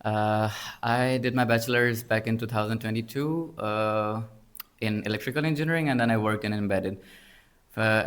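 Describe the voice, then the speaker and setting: A man's voice: medium pitch, a bit bright. Young Pakistani man, hesitant student speaker. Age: 20s. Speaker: master's student, podcast guest. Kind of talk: conversational panel answers.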